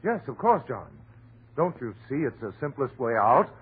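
An actor playing an earnest, dutiful doctor, shaken and urgent, in dramatic 1930s radio horror style. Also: male voice, medium pitch, very animated, normal speed, slight background noise, natural voice. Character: earnest, dutiful male doctor, shaken yet insisting on reason. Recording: muffled, phone-like 1930s radio recording.

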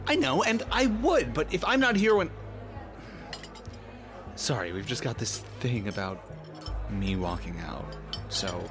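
A man's voice: mid-range voice, very animated, unhurried, pauses often, music in the background. An actor playing a prickly, sarcastic, jittery male detective in a noir audio drama. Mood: flustered. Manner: sarcastic, grumbling, flustered.

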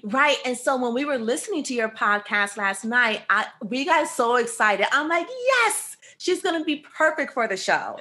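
A woman's voice: a little high, very animated, normal speed, few pauses, sharp tone. A woman co-hosting a podcast, interviewing her guest with enthusiasm and lavish praise. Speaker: podcast co-host.

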